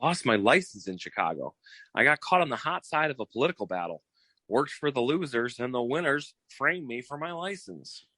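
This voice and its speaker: An actor playing a cocky, boastful, theatrical private detective in a 1940s style radio comedy, pitching himself in a showy, wheedling way. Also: male voice, expressive, a little fast, bright, forceful tone, dry recording. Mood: earnest pitch. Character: cocky, theatrical fast-talking male private detective eager for partnership.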